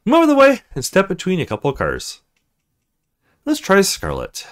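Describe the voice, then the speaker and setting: Man narrating with very lively pitch. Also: moderate pace. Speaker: let's play host reading a visual novel aloud. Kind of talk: expressive read-aloud narration.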